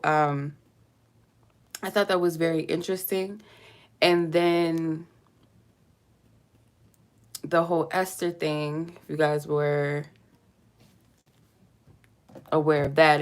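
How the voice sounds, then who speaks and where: Low, gravelly-voiced woman with a cold. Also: slight background noise. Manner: meandering, chatty, lightly joking. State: stuffy with a cold, coughing. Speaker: tarot reader. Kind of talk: casual spiritual reading to camera.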